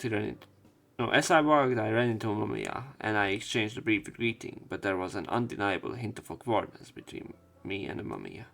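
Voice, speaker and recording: A man narrating a game let's play, reading dialogue aloud with casual, halting commentary. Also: fairly low pitch, vocal fry, fairly bright tone.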